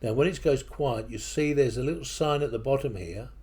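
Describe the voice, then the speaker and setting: Husky man with English-Kiwi accent. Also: medium pitch, fairly quick, dark tone. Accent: mixed English and New Zealand. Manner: hesitant, with frequent fillers and restarts. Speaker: software tutorial presenter. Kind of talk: casual screen-recording walkthrough. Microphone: desktop microphone at a computer.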